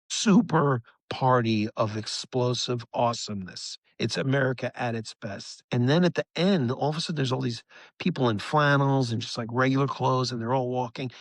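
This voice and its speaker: A man's voice: medium pitch, no echo. A middle-aged man chatting casually as a musician guest in an interview, enthusiastic and rambling, often restarting his thoughts mid-story. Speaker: rock musician, podcast guest.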